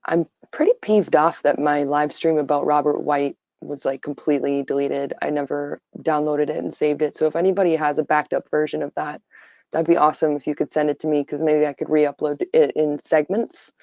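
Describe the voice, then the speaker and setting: A tired woman with a raspy voice, giving her opinions on a casual livestream full of fillers. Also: deep voice, little pitch movement, fairly quick, dark tone, dry recording. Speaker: livestream host. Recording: dull lo-fi stream audio.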